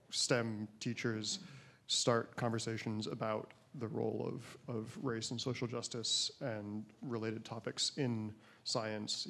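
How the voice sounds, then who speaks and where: A man's voice: mid-range voice, vocal fry, a bit bright. A man in the audience of a large lecture hall making a comment, hesitant with many fillers. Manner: hesitant, many ums and sort ofs. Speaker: audience member asking a question.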